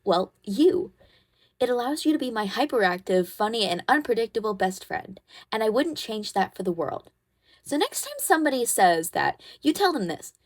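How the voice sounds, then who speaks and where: A woman playing a caring, protective teenage girl who comforts her best friend in an ASMR roleplay, soothing and gently bossy as she talks. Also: fairly high pitch. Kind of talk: ASMR comfort roleplay, one-sided conversation.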